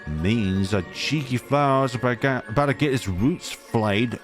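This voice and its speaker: A man voicing a hot-tempered, blustering man in a visual novel, irritated and quick to threaten. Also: medium pitch, soft background music, natural voice. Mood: menacing. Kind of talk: visual novel character read aloud.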